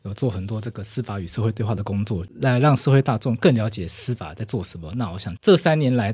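A man's voice: on the low side, a little mellow, husky, dry recording. A man with a Taiwanese Mandarin accent hosting a conversational podcast interview, explaining things in a chatty, wordy way. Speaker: podcast host.

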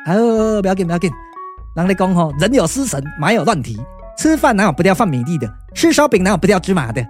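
A man's voice: sits high, big pitch swings, fast, soft background music. A man with a Taiwanese accent playing a boastful, bumbling shape-shifting younger brother, chatty and full of exclamations, in a puppet-theatre radio drama. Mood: flustered.

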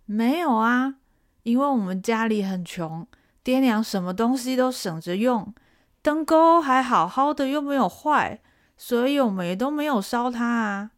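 A woman's voice: fairly high pitch, lively intonation. A woman playing a scrappy orphan peasant boy. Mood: earnest. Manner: defiant, pleading, drawn-out delivery.

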